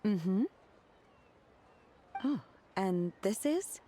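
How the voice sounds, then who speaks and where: A woman's voice: mid-range voice, expressive, drawn-out words, heavy background noise. A woman voicing a stoic, polite young disciple working as a waitress in a video game, formal and earnest. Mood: businesslike.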